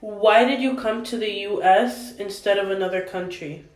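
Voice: medium pitch, normal speed. Hesitant young interviewer in an echoey room. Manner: hesitant, many ums. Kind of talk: casual interview questions.

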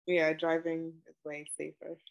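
A woman, answering questions in a relaxed oral history interview over a video call, with plenty of ums and likes. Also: low-pitched, measured pace, gravelly, poor audio. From Brooklyn, New York. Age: 20s.

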